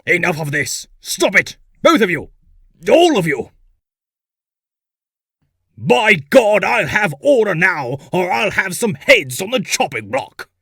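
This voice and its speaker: Guy doing a blustery pompous lord, husky, swings up and down a lot, comic dub. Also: sits high, very animated, slow pace, long pauses, bright, forceful tone, no echo, studio quality, full character voice. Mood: blustering, commanding. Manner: pompous, declamatory. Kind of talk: amateur comic dub acting.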